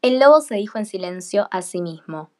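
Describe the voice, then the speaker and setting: A woman's voice: medium pitch, lively intonation, a little fast. A woman reading scripted fairy tale narration for a radio play.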